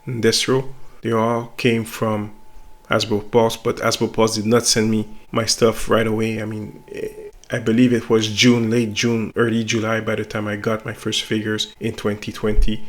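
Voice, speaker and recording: A man's voice: on the low side, monotone, normal speed. A middle-aged French Canadian man, a native French speaker, talking casually and reflectively to his viewers about his review channel, with a self-conscious, rambling manner. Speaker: toy reviewer and site owner. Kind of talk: casual talk to camera.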